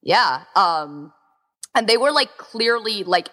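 A woman's voice: mid-range voice, lively intonation, slow, a bit bright. A woman chatting casually as an interview guest, in an informal, gushing, emphatic way, with lots of filler "like". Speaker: podcast interview guest, event organizer. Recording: long reverberant echo.

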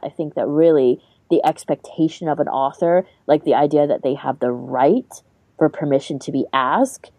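Woman, deep mellow voice, podcast co-host, informal, thinking aloud. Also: no echo. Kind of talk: casual podcast discussion.

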